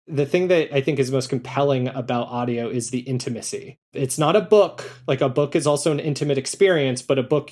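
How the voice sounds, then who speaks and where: A man talking casually as an interview guest, telling stories and quoting thoughts in an animated way. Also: medium pitch. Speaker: interview guest, audio drama creator.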